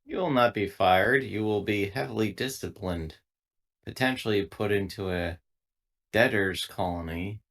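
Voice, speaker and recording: A low-pitched male voice of a player acting as a synthetic crew member in a sci-fi roleplaying game. The delivery is matter-of-fact and precise, an android stating terms plainly. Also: measured pace, fairly bright tone. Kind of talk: improvised tabletop roleplay dialogue.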